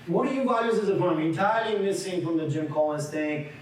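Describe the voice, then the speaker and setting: A man's voice: high voice, fast, a little hiss or hum. A man in his forties from Bulgaria leading a seminar, talking conversationally with frequent restarts and questions to the room. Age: 40s. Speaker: workshop leader. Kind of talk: interactive seminar lecture. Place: large echoey meeting room. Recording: echoey room recording from far off.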